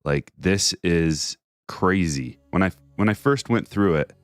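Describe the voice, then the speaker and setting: A man's voice: unhurried, smooth, no echo, clean studio sound, faint music behind. A man hosts a casual livestream, chatting in a jokey, chatty way and reading viewer messages aloud. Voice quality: deep bass voice. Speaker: card break streamer.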